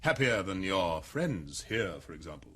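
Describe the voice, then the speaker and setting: An actor with a British accent playing an urbane, powerful male world controller who speaks in a measured, wry, condescending way, in a radio drama. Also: low voice, sing-song, a bit bright, breathy edge, full character voice. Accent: British English.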